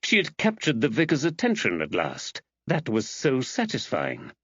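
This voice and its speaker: Expressive male audiobook narrator. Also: mid-range voice, normal speed, husky, dry recording, muffled recording. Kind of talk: scripted mystery novel narration.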